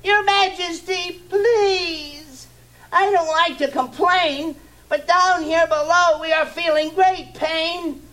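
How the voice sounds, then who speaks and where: A man's voice: very high-pitched, fairly even intonation, slow, pushed and bright, voice pushed up, heavily acted. A man voicing a meek small turtle at the bottom of a stack, pleading in a strained voice. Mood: desperate groaning. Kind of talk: storybook reading.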